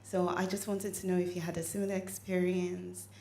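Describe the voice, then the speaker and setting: A woman in the audience asking the speaker a question at a conference, hesitant and full of pauses and fillers. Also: on the low side, little pitch movement, gravelly, darkish tone. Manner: hesitant, with many "um"s and slow pauses. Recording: echoey hall, faint background noise.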